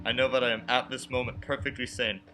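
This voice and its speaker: An actor playing a haunted man in his thirties from Brooklyn, narrating a radio play in earnest, deliberate phrases. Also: medium pitch, level tone, lightly acted. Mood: tense, confessional. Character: haunted 36-year-old man from Brooklyn, anxious but insisting he is sane. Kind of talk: radio play acting, first-person dramatic narration. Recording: music behind the voice.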